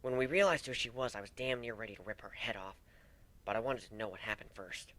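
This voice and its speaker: A man voicing a tough but tender female griffin in a story, soft-spoken, teasing and affectionate. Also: fairly low pitch, fast talker, close to an everyday voice. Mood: tender, worried.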